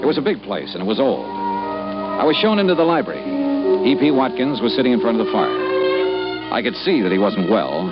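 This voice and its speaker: An actor playing a wry, wary American insurance investigator in 1950s radio detective style. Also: male voice, mid-range voice, huge pitch swings, moderate pace, dull audio, loud music behind the voice. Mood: brisk, matter-of-fact. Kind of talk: 1950s radio detective acting with first-person narration.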